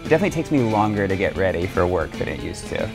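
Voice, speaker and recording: A man's voice: deep voice, big pitch swings, rapid-fire, vocal fry. Casual man in his thirties, music behind. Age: 30s. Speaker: company chief executive being profiled. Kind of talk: casual interview talk. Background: background music.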